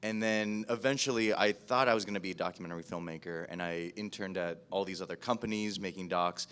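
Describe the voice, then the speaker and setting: Low-pitched American man, casual panelist. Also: quick pace, fairly bright tone, smooth. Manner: self-deprecating, informal, quotes his own thoughts. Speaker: podcast producer on a panel. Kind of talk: casual spoken career story at a panel.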